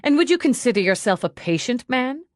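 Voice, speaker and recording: A woman's voice: on the high side, sing-song, fairly quick, no room sound, studio quality, voice pushed up. A woman voicing a hard, relentless female police lieutenant in an audiobook, pressing a suspect with confrontational questions. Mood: probing. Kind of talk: audiobook character dialogue.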